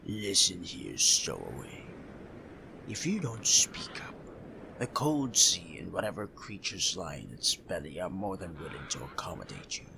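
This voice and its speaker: A man playing a gruff, threatening pirate crewman in an ASMR roleplay, speaking deliberately to a captive. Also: sits low, noisy background, voice pushed down, full character voice.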